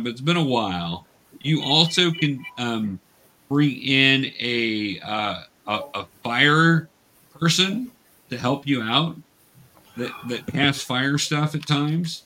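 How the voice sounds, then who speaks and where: A man's voice: mid-range voice, sharp tone. A man explaining and narrating a tabletop game as game master, casually and patiently.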